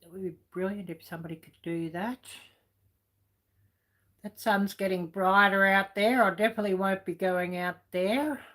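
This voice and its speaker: A middle-aged woman with a croaky voice chatting casually on a livestream, answering viewers with lots of ums and you knows. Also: mid-range voice, fairly even intonation, moderate pace, a bit bright. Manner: rambling with many fillers, chatty. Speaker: livestream host reading chat. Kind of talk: casual chat.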